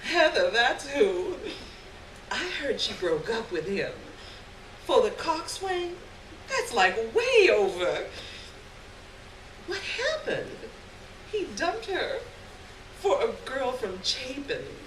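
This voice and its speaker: Woman acting a drawling, high-pitched popular schoolgirl. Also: sing-song, takes it slowly, long pauses, fairly bright tone, exaggerated voice. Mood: gossipy. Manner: drawling, exaggerated girlish talk. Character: popular teenage private-school girl, gossipy, casually haughty. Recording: roomy, noisy live recording from a distance.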